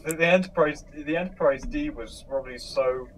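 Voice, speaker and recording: A man's voice: fairly high pitch, brisk, crowd noise, poor audio. A man hosting a casual fan discussion, reading out questions and reacting informally and appreciatively. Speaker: host reading viewer questions.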